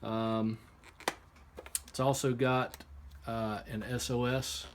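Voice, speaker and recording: A man giving a casual, unscripted hands-on product demonstration, explaining step by step in a halting way. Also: mid-range voice, flat delivery. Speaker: product reviewer. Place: indoor workshop. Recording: clear background noise, room ambience.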